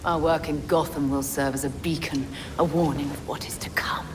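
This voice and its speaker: An actress playing a menacing, zealous leader of assassins in a video game, measured, imperious and grandiose. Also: female voice, low-pitched, steady tone, noisy background, close to an everyday voice. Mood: menacing resolve. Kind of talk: cinematic video game acting.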